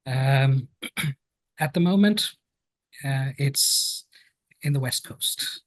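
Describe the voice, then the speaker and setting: A man's voice: mid-range voice, drawn-out words, no room sound, poor audio. British man in his fifties, dry close sound. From United Kingdom. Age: 50s. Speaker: guest, health and safety professional. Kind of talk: conversational interview storytelling.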